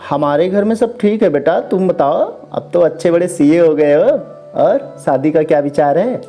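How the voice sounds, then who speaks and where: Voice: medium pitch, moderate pace, mellow, faint music behind, lightly acted. A storyteller voicing a chatty middle-aged auntie with a familiar, questioning, puzzled way of talking. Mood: friendly, inquiring.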